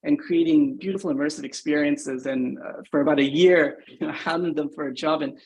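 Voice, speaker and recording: A man from Singapore, in his thirties, talks as a podcast guest in a fairly high voice. He speaks thoughtfully and keeps adding "you know" and "right?" as he goes. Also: steady tone, brisk, low-quality recording. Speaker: guest, agency founder. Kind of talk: podcast interview.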